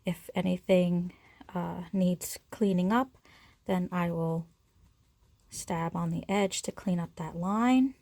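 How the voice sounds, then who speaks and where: Woman craft instructor with a creaky voice. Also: fairly low pitch, slow pace, darkish tone, no echo. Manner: informal, talks through each action while working. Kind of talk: step-by-step hands-on demonstration, casual.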